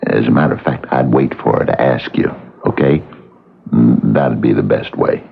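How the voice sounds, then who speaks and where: A muffled old radio drama recording of a folksy man with a very deep, creaky voice. He coaxes gently and repeats himself, soothing and almost hypnotic. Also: moderate pace, heavily acted. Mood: folksy, hesitant. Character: genial, coaxing married man, soothing, quietly hypnotic. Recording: muffled old radio broadcast, music behind.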